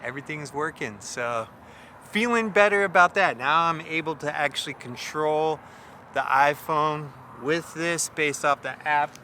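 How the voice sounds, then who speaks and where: A man's voice: on the high side, a little slow, sharp tone, slight background noise. A man giving casual, off-the-cuff vlog updates to camera while outdoors at night. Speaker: video creator in a filming challenge. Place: outdoors at night near a road.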